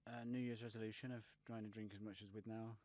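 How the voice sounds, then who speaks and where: A man's voice: on the low side, level tone, fast talker, darkish tone. A young man, co-hosting a casual film podcast with dry, teasing remarks. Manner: teasing, dry, hesitant with fillers. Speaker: podcast co-host.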